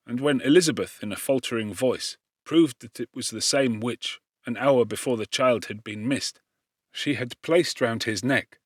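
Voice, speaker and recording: A man with a mid-pitched voice narrates literary fiction. His delivery is solemn and expressive, measured like formal dramatic storytelling. The recording is dry, with no room sound around the voice. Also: dry recording. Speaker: audiobook narrator.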